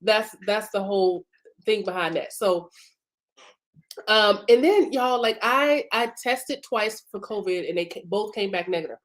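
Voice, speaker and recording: A woman's voice: mid-range voice, unhurried. A woman business coach hosting a live broadcast, chatting informally with many fillers while congested from a cough and sore throat. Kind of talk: casual live-stream teaching.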